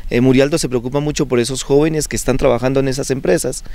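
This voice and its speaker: A young man from Colombia, a seminarian, calmly and earnestly explaining in a televised interview, with frequent fillers. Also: mid-range voice, fast talker, no room sound. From Colombia (Bucaramanga, Santander). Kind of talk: conversational TV interview.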